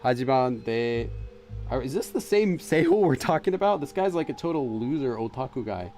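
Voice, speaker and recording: A man's voice: mid-range voice, lively intonation, quick pace, a little mellow, soft background music. A man hosting a gaming channel, thinking aloud in casual let's-play commentary and hesitating with fillers and restarts as he translates for himself. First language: English.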